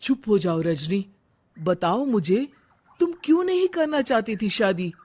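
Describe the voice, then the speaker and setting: A woman's voice: mid-range voice, lively intonation, measured pace, darkish tone, husky. A woman voicing a caring but insistent young husband in a cartoon story, with emphatic, pleading delivery. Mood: concerned. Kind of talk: animated moral story dialogue.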